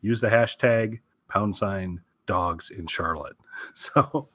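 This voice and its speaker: A man's voice: on the low side, takes it slowly, no room sound. A man co-hosting a podcast in casual chat, rambling and correcting himself as he goes. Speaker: podcast co-host. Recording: dull, lo-fi close dry audio.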